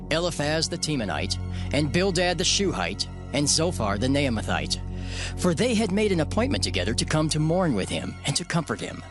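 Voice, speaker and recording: Expressive male scripture narrator over music. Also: a little high. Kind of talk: solemn dramatized scripture narration.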